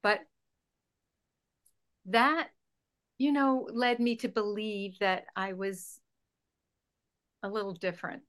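A woman with a Scottish accent from near Glasgow, a guest on a radio show, recounting her life in a gentle, reflective way. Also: medium pitch, fairly even intonation, slow, pauses often. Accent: Scottish, Glasgow area. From Scotland. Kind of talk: interview storytelling.

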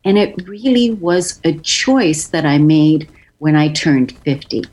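A woman's voice: low voice, expressive, slow, darkish tone. A woman in her fifties telling a personal story in an effusive way, often repeating words for emphasis. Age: 50s.

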